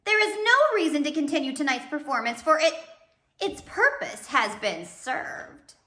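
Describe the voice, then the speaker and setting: A woman's voice: high-pitched, sing-song, unhurried, bright, forceful tone, echoey room, voice pushed up, full character voice. Woman acting a gloating, pompous villainous count. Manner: theatrical, commanding, smug.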